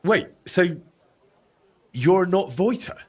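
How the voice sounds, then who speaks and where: An actor playing an earnest young medieval adventurer in a video game, direct and questioning, with a slightly husky voice. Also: male voice, sits high, animated, slow, full character voice. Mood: probing. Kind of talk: video game dramatic dialogue.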